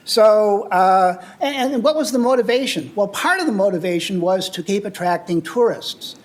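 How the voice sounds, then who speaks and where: An older man from the United States giving an earnest, explanatory public talk with slides, often pausing with "uh" and restarting phrases. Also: sits high, expressive. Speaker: presenter on travel and sustainability.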